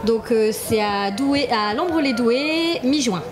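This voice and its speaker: A woman's voice: a little high, lively intonation, slow pace, music in the background. A woman with an accent from France giving casual answers as an interview guest. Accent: French from France. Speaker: interviewee, member of a fantasy role-play association. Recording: busy crowd noise around. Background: crowd at a medieval festival.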